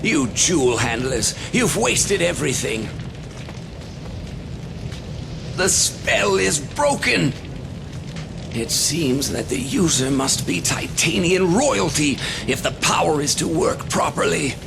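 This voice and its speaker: Gloating, theatrical undead sorcerer, swooping delivery. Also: male voice, on the high side, lively intonation, gravelly, noisy background. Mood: contemptuous. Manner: theatrical, gloating, slow and deliberate. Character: gloating, scheming undead old sorcerer, cruel and mocking. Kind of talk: video game villain acting. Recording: loud background music over voice.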